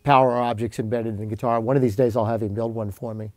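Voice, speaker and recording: A man's voice: medium pitch, flat delivery, quick pace. Easygoing man with faint music behind. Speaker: record producer making a cameo. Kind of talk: short interview comment.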